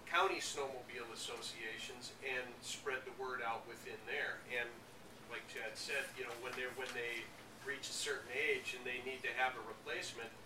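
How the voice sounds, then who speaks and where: A man explaining things to a workshop in a halting way, often repeating and rephrasing himself. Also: mid-range voice. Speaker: association president presenting a workshop. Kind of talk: explanatory workshop talk with audience questions. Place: indoor conference workshop room. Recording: echoey, noisy room, distant microphone.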